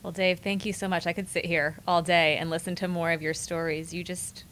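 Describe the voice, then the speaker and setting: A woman's voice: fairly low pitch, level tone, a little fast, fairly bright tone, a little hiss or hum. A young adult woman hosting a podcast, chatting casually in an enthusiastic, informal way. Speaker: podcast host. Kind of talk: casual chat.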